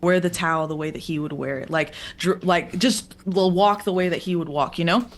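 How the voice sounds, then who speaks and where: A woman with a raspy voice recounting her childhood in a conversation, hesitant, with many fillers and restarts. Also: lowish voice, brisk. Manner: hesitant, filler-heavy storytelling. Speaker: guest in a clip. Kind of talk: personal testimony in conversation.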